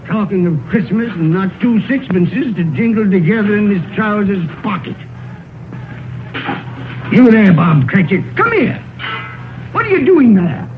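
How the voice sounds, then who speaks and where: Cantankerous old miser, thin vintage radio sound. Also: male voice, sits high, big pitch swings, unhurried, pauses often, over music. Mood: irritable, scolding. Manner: curt, grumbling, snapping. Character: miserly, cantankerous old male money-lender, cold and scornful. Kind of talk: old-time radio drama acting. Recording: noisy, narrow-band vintage radio recording.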